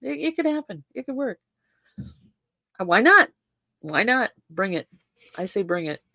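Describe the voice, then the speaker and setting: A woman's voice: mid-range voice, big pitch swings, measured pace, vocal fry, pushed and bright, dry recording. Playful older woman with a dry close sound. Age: 60s. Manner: repeats catchphrases, laughs often, playful banter. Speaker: co-host. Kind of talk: casual chat. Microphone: desk microphone.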